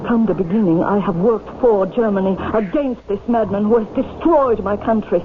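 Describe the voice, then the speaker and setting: An actress playing a tormented woman under hypnosis in an old-time radio drama, speaking in a slow, theatrical way. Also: mid-range voice, natural voice. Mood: intense, resolute. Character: tormented woman under hypnosis, reliving a doomed wartime past life. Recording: noisy, tinny old radio broadcast.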